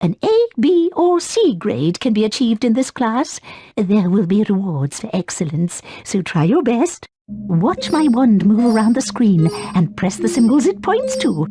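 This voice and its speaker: A woman playing a brisk, encouraging schoolteacher witch who gives formal instructions in a video game. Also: on the high side, sing-song, warm tone, husky, no echo, soft background music, exaggerated voice. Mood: instructive, approving.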